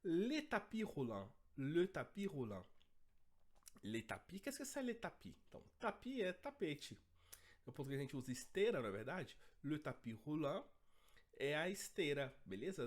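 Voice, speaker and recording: A man with a hoarse, cold-roughened voice teaches an online language lesson. His pitch swings widely as he talks, lively and animated. He keeps checking in with his students as he goes. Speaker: French teacher.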